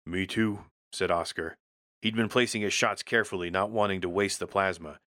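A man's voice: sits low, brisk, bright, forceful tone, no echo, studio quality. A man reading a first-person military science fiction story as a scripted narrator, in a gritty, terse storytelling manner.

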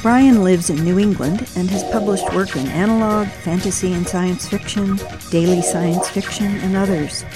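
A woman's voice: mid-range voice, normal speed, hardly any pauses. A woman hosting a fiction podcast, reading a scripted introduction with music behind her. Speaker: podcast host introducing a story.

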